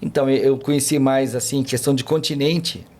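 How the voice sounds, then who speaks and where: A man's voice: mid-range voice, fairly even intonation, brisk, a little mellow. A man, a podcast guest telling travel stories in a rambling casual way, full of fillers and restarts. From Brazil. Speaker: podcast guest, tour guide. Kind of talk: casual chat, storytelling.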